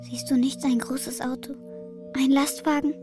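A woman's voice: high voice, level tone, measured pace, over music. An actress playing a young steppe girl in a children's radio drama, full of longing and pressing, eager questions.

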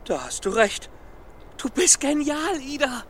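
A man playing a fussy, optimistic scholar and storyteller in a children's radio play, expressive and prone to stammer. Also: very high voice, measured pace, slight background noise, full character voice. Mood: encouraging.

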